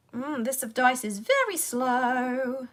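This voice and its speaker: A high-voiced woman hosts her own livestream, hoarse and croaky from a sore throat. She rambles at an unhurried pace, talking half to her viewers and half to herself as she struggles with her devices. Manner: rambling, talks to herself while fighting tech. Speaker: voice actor hosting a livestream Q&A. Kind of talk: casual livestream chat.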